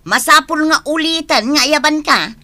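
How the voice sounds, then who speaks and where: Bright-voiced harried Filipino wife. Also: female voice, high voice, moderate pace, no room sound. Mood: nagging. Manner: exasperated, scolding. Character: adult daughter, harried nagging wife and mother. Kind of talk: Ilocano radio drama acting.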